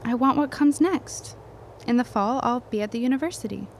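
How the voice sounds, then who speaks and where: Actress playing a high-voiced, wry young woman. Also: moderate pace, a little hiss or hum, natural voice. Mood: teasing. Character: wry, strong-willed young woman, would-be reporter in 1917. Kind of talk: period audio drama acting.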